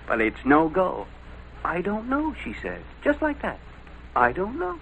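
Animated, exasperated American police lieutenant. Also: male voice, high voice, a little slow, vocal fry, heavily acted. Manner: emphatic, folksy, exasperated. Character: weary, exasperated, tough male police lieutenant. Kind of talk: old-time radio drama acting. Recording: noisy vintage radio recording.

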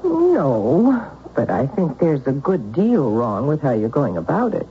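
A woman playing a frank, caring older aunt in old-time radio drama, with a dry, measured manner. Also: sits low, wildly swooping pitch, exaggerated voice. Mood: disapproving, dry. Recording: muffled, faintly noisy old radio recording.

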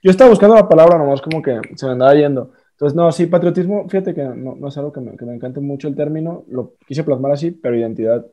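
A man's voice: a little high, fast, few pauses, dark tone. A man hosting a casual podcast interview, friendly and a bit hesitant, full of fillers. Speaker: podcast host. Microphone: remote online call.

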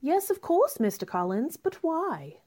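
A spirited young gentlewoman, played by a woman in a radio play. Her high voice moves up and down a lot, and she is polite yet firm and outspoken. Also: very animated, unhurried. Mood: polite, restrained. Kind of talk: theatrical radio drama acting.

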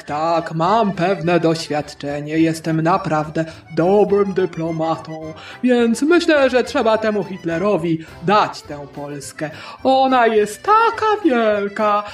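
A woman's voice: on the low side, voice pushed down. A woman voicing a smug, chummy, scheming middle-aged male prime minister in a satirical audio play for children. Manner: pompous, self-satisfied, dragging words.

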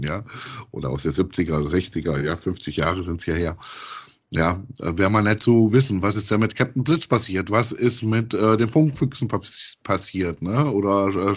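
A middle-aged man co-hosting a casual review podcast, speaking in a halting, hesitant way. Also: lowish voice, slightly rough. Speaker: podcast co-host. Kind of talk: casual review chat about audio dramas.

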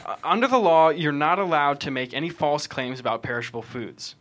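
Casting: a man as a confident, persistent trial lawyer laying out strategy, slightly high voice, drama table read. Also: fairly quick, fairly bright tone, lightly acted. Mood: earnest, explanatory. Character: smug, earnest, persistent male defense lawyer explaining legal strategy.

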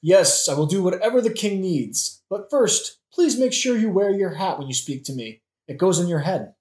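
A woman's voice: fairly low pitch, animated, normal speed, a little mellow, natural voice. A woman playing a sarcastic, melodramatic young prince in a modern comedic audio play, with theatrical delivery. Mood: sardonic. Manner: theatrical, sardonic. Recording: roomy echo.

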